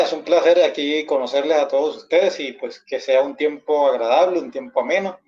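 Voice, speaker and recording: A man with a Colombian accent giving a measured, explanatory and conciliatory presentation in a debate. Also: medium pitch, a little mellow. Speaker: debate participant, former pastor. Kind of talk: expository debate talk. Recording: dull lo-fi audio.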